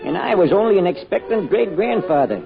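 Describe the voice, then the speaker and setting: A man's voice: high voice, animated, fairly quick, gravelly. An actor playing a spirited, affectionate American small-town grandfather and druggist in an old-time radio drama, with homespun turns of phrase. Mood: reminiscing. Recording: tinny vintage radio recording with music.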